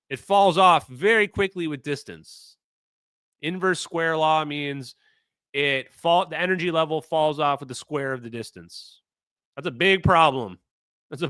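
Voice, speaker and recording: A man with a Minnesota accent, talking through a casual livestream in a bright, forceful voice that sits a bit high. He rambles, repeats himself and hunts for words. The sound is dry and close. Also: smooth, dry recording. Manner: rambling, animated, fillers and self-repeats. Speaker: streamer host.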